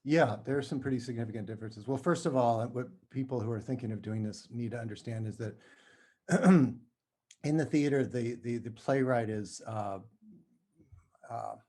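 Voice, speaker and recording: Interview guest, male writer. Mellow timbre, medium pitch, thoughtful and halting delivery. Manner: halting, careful with word choice. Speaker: playwright and screenwriter guest. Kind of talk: thoughtful conversational explanation.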